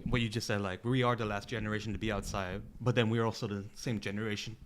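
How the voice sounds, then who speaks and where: A man's voice: lowish voice, level tone, quick pace, a little hiss or hum. A man co-hosting a podcast in casual, slangy, profane talk, argumentative and constantly peppered with "like" and "you know.". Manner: repeats 'like' and 'you know' constantly. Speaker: podcast co-host. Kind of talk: casual group chat.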